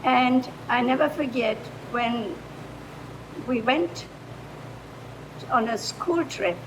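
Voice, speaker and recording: An older woman with a German accent, speaking off the cuff in a halting way as a retired language teacher, in a noisy recording. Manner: halting, hesitant delivery. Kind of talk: improvised public remarks. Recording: noisy room recording with low rumble.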